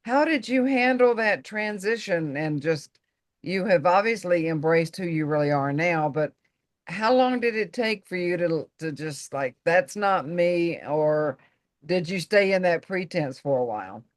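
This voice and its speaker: A woman's voice: on the low side, expressive, a little slow, no room sound. Dry-sounding woman host asking questions. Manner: searching, restarts mid-question. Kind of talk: interview questions.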